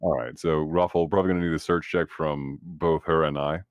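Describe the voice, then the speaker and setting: A man's voice: very deep voice, fairly even intonation, fairly quick, no room sound, muffled recording. A man playing a tabletop game, talking casually and wryly with the other players.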